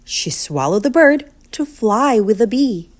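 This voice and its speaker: A woman reading a children's picture book aloud in an expressive, deliberate, sing-song storytelling manner. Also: on the high side.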